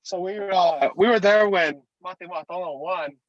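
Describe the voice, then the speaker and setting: A man's voice: sits high, moderate pace, lo-fi sound, a crowd in the background. A young man from Utah, a podcast guest telling stories in an easygoing, joking way. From Utah, United States. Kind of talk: casual interview storytelling.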